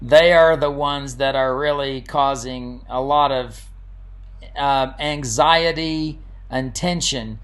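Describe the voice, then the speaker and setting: Man reading and discussing a story, mid pitch. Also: slow pace, fairly bright tone. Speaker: author, narrator and commentator. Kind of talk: storybook narration and casual commentary.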